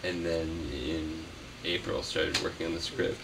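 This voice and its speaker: A man being interviewed as a puppeteer, chatting casually in a halting, rambling way that often trails off. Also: very deep voice, level tone, vocal fry. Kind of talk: casual unscripted interview chat. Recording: noisy, distant mic.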